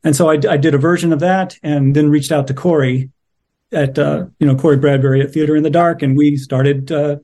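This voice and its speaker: A man's voice: fairly high pitch, steady tone, fairly quick, warm tone. A middle-aged man speaking as an interviewed playwright, casual, reflective and a little wry.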